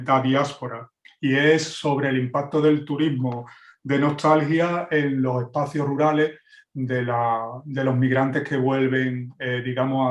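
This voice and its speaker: A man moderates a conference session in an even, orderly manner, thanking one speaker and introducing the next. His delivery is flat with little pitch movement. The audio has a clear room echo. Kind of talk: formal introduction. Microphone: video call. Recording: echoey room over call.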